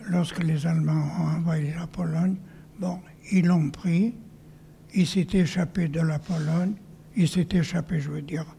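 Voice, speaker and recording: An older man from northern France telling his life story to an audience, halting and often dropping to a whisper. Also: a little high, gravelly, warm tone, slight background noise. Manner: halting, with many restarts and whispered stretches. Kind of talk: testimony to an audience. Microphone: handheld mic.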